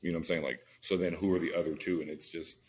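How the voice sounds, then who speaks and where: A man with an extremely deep voice talks quickly as a sports podcast co-host. He is opinionated and slangy, and there is faint noise behind him. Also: level tone, a little mellow. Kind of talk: casual sports debate.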